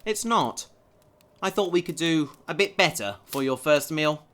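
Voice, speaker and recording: A man's voice: fairly high pitch, expressive, unhurried, a bit bright. A man playing an earnest, determined human spacefarer in a science fiction audio drama, sincere and slightly awkward. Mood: patient, gentle.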